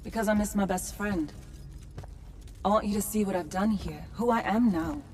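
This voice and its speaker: An actress playing a wistful, gentle but resolute young woman in a video game, with a reflective, storytelling manner. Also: mid-range voice, moderate pace, close to an everyday voice. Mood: tender, sad. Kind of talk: video game cutscene acting.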